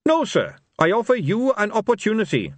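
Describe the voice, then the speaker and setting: Man voicing an earnest, high-pitched polite hero. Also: huge pitch swings, normal speed, dry recording, full character voice. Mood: earnest, respectful. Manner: respectful, earnest.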